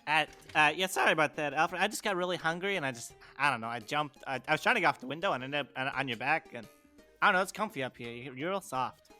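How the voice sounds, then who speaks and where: A man playing his character in a tabletop game over voice chat, rushed and apologetic. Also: a little high, expressive, sharp tone, faint music behind. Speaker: player. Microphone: home microphone on a voice call.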